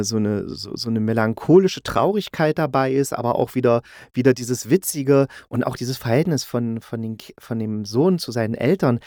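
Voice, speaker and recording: A man's voice: mid-range voice, darkish tone, dry recording, clean studio sound. A middle-aged man from East Germany giving a casual, colloquial and enthusiastic review as a podcast host. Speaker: audio drama critic, podcast host.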